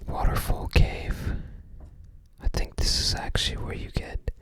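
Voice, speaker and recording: An ASMR creator whispering casual, musing commentary on a game guide, often tentative while recalling details. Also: fairly bright tone. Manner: unhurried, musing, tentative recollection.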